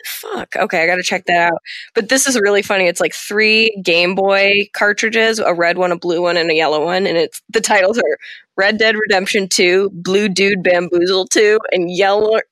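A man co-hosting a casual podcast, chiming in with quick reactions. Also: sits high, sharp tone, no room sound. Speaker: podcast co-host. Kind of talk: casual chat.

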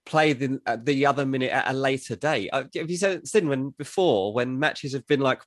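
A man's voice: medium pitch, normal speed, no room sound. A man co-hosting a casual football podcast, with dry, self-deprecating humour and frequent fillers. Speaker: podcast co-host.

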